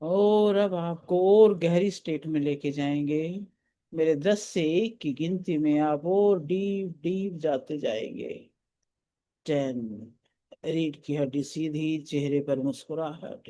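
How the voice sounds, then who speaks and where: A man leading a guided meditation as a teacher, giving soothing, deliberate instructions. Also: on the high side, dark tone. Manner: soothing, deliberate, counting out breaths and steps.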